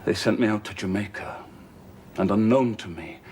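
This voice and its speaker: An actor playing a brooding, passionate gentleman who is master of the house, speaking intensely and pleading, from low murmurs to outbursts, in a period film drama. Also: moderate pace, slight background noise, close to an everyday voice. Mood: somber.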